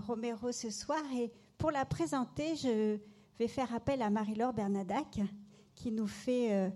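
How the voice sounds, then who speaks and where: A woman hosting an evening event, giving a slow, formal welcome and handing over to the next speaker. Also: fairly high pitch, mellow. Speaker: event host.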